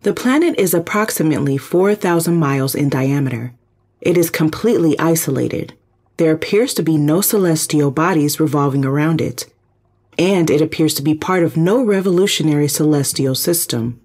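A performer voicing a calm, precise spaceship computer in an audio drama, speaking formally and literally. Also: medium pitch, moderate pace, breathy edge. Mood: analytical, calm. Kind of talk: audio drama, analytical reporting.

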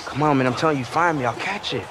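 An actor playing a cocky, pleading young American football receiver in a video game cutscene. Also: male voice, fairly high pitch, fast, noisy background, natural voice. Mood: urgent, coaxing. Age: young adult.

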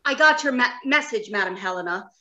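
High, bright, obliging doctor played by a woman, echoey. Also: expressive, fairly quick, highs cut off. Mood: concerned. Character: obliging, businesslike robot scientist. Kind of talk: old-time radio drama acting. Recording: echoey room on video call.

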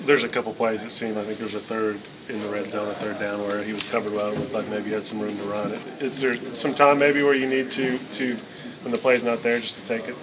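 A man's voice: on the low side, little pitch movement, brisk. A man, a sports reporter, asking a quarterback rambling postgame questions in a busy room. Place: crowded locker-room interview area, indoors. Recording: background noise of a busy room.

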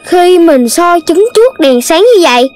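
Tiny squeaky cartoon critter kid, careful and bit preachy, southern vietnamese accent. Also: animated, moderate pace, darkish tone, faint music behind. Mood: thoughtful. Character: earnest, cautious young animal child, caring friend. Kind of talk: children's cartoon dub.

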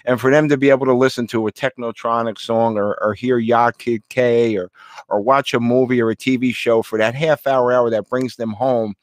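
Male host, earnest and halting, restarts a lot, dry close sound on a video call. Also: mid-range voice, fairly even intonation, dry recording. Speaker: radio show host. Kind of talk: casual video call interview. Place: home room indoors.

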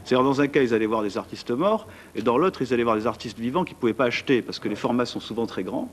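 A man's voice: medium pitch, fast talker, slight background noise. A man in his forties, a guest at a studio desk mic, talking chattily with many fillers and restarts about what he organizes. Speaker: art event organizer. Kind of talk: TV studio interview.

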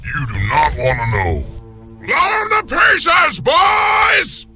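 High, gravelly outlaw creature. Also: male voice, very animated, slow, bright, forceful tone, full character voice. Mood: indignant bluster. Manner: blustering, cartoonish. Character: rowdy, dim-witted, bickering male creature outlaw of the West. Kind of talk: western video game acting. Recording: music behind the voice.

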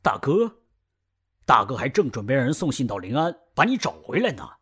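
A man voicing a worried middle-aged uncle in an audio drama who speaks in a grave, measured way. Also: medium pitch, sing-song, fairly bright tone, husky. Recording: music bed behind.

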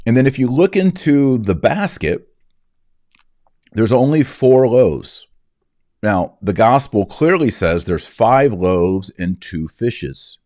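A man in his forties reading and explaining scripture as a podcast host, in a deliberate, didactic way with emphasis on key words. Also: mid-range voice, sing-song, a little mellow, dry recording, studio quality. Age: 40s. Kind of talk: scripture reading and religious commentary.

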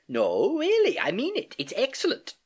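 A storybook reader voicing a relaxed, drowsy uncle with a teasing, hesitant manner. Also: mid-range voice, normal speed, slightly rough, pitched above the performer's own voice. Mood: encouraging. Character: drowsy, mischievous, kindly uncle teaching by demonstration.

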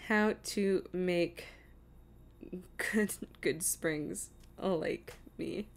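A woman's voice: lowish voice, very slow, gravelly. A woman streaming a video game with casual commentary, thinking aloud in a self-deprecating, exasperated way.

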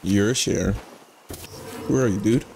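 A young man with a raspy, just-woken-up voice, giving laid-back, slangy commentary while playing a video game. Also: lowish voice, slow pace, a little mellow, slight background noise. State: just woke up. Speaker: gaming channel host. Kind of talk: casual gameplay commentary.

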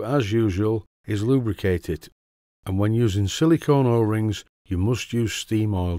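A man with a Yorkshire accent talks through his workshop job in a low, mellow voice. He explains each step plainly and methodically, in a dry, close recording with no room sound. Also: no room sound. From Yorkshire, England. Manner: steady, methodical, plain-spoken instruction. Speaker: model engineering channel host. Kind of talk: workshop tutorial voice-over.

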